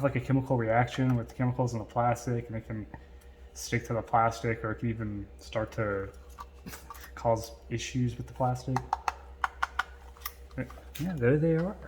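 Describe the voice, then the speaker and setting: A man giving casual, unscripted unboxing commentary in a halting, hesitant way. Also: medium pitch, a little mellow, a little hiss or hum. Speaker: vlogger doing an unboxing.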